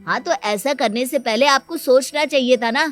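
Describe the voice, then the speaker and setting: A woman's voice: sits high, moderate pace, faint music behind, close to an everyday voice. A woman voicing a headstrong young man in his twenties, a blunt and firm son in a cartoon family story. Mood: defiant. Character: headstrong, defiant twenty-five-year-old son. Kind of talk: Hindi cartoon story dialogue.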